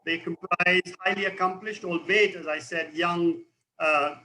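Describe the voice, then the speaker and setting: A man's voice: high voice, fairly even intonation, unhurried, bright, forceful tone, a little hiss or hum, rough recording. An older man with an Indian accent, a retired naval officer speaking as a guest expert in formal, elaborate language over a remote link.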